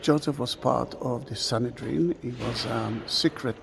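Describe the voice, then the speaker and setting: A man whose first language is Hebrew, guiding a slow, halting walking tour inside a large stone church, recorded on a camera mic. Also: mid-range voice, creaky, background noise. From Israel. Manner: slow, halting, with many fillers. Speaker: tour guide.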